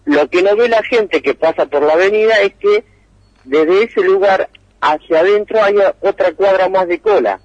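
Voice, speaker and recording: A man giving a meandering radio report by phone, pausing often on fillers. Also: high voice, darkish tone, no room sound, muffled recording. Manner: meandering, hesitant with many fillers.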